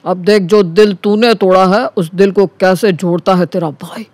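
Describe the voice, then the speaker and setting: A man voicing a cocky, smooth-talking young man who flatters elders in an animated story. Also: high-pitched, normal speed, no room sound, lightly acted. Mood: teasing. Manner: glib, boastful, smooth-talking. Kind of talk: animated Hindi story character acting.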